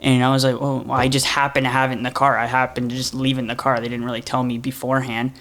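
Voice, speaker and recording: A young man in his twenties, a guest on a talk show, tells a story casually and rambles a little. His voice sits at a middle pitch. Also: completely flat delivery, fast, fairly bright tone. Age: 20s.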